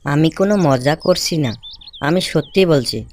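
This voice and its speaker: A man's voice: fairly high pitch, moderate pace, a little hiss or hum, lightly acted. A man playing a polite, earnest cartoon snake, speaking in a courteous, entreating way. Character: polite, earnest talking snake seeking a bride.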